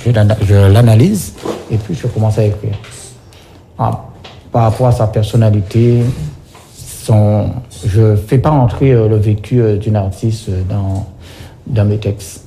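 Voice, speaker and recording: A man's voice: fairly low pitch, dark tone, a little hiss or hum. A man in casual conversation, explaining things as a guest and often repeating and rephrasing himself. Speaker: guest, songwriter for singers.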